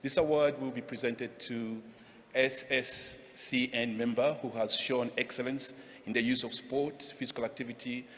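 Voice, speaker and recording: A man's voice: medium pitch, fairly even intonation, normal speed, fairly bright tone. A man giving a formal, scripted speech to present an award. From Zambia. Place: large event hall, on stage. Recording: echoey hall, noticeable background noise.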